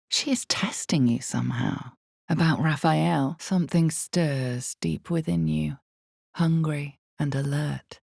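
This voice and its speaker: Very deep, creaky, ominous fantasy narrator. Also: female voice, a little mellow, dry recording, studio quality, heavily acted. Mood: hushed, uneasy. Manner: deliberate, solemn, suspenseful. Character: ominous, brooding female narrator of a dark fantasy. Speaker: game narrator. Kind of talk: scripted dramatic second-person fantasy game narration.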